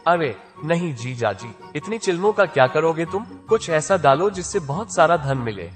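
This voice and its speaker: A sly, talkative young brother-in-law, voiced by a man for a cartoon story. The voice swings up and down and sits a bit high, with clear music playing underneath. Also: normal speed. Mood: eager. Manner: chatty and lively. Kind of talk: cartoon voice acting.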